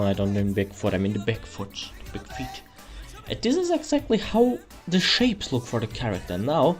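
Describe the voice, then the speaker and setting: A young man with a German accent talks through a drawing tutorial in a casual, rambling way, often correcting himself and tossing in mild swearing. His voice sits at an ordinary mid pitch, picked up by a computer mic in a small room at home, with faint music underneath. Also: a little mellow. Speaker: art tutorial host.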